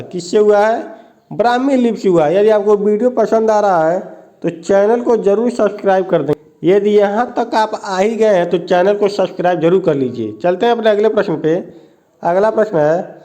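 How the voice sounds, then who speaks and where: Guy teacher going thru exam quiz qs, high voice but kinda mellow, keeps repeating the answers. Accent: Hindi, north Indian. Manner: repeats each answer as a question to the viewer. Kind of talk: quiz lesson, reading questions and options.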